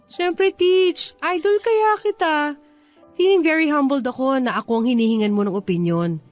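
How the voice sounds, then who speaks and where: A woman's voice: high-pitched, normal speed, faint music behind, lightly acted. A woman playing a humble, grateful teacher in a radio drama, earnest and deferential toward her mentor. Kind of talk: radio drama dialogue in Taglish.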